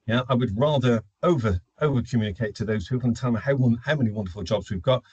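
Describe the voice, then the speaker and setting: A man's voice: mid-range voice, fast talker, a little mellow, husky, dry recording, lo-fi sound. A man giving an informal business webinar presentation, explaining in a conversational, opinionated way and answering his own questions. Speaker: webinar presenter, recruitment automation consultant.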